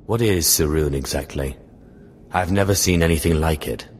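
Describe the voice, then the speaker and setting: A man playing a cold, haughty Sith acolyte in a video game, speaking in a measured, disdainful way. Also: very deep voice. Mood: probing. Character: haughty, cold young male Sith acolyte, player hero. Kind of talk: video game dialogue acting. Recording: noisy game capture.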